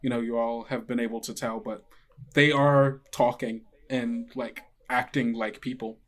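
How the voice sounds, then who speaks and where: A man running a tabletop game as game master, narrating off the cuff in a casual, halting, colloquial way. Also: medium pitch, steady tone. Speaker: game master and podcast host.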